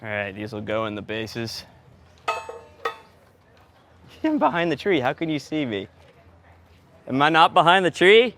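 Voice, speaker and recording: A man's voice: mid-range voice, big pitch swings, takes it slowly, pauses often, a bit bright. Man chatting casually over noticeable background noise. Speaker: sound engineer and host. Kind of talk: casual chat while working. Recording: clear background noise, on-location audio.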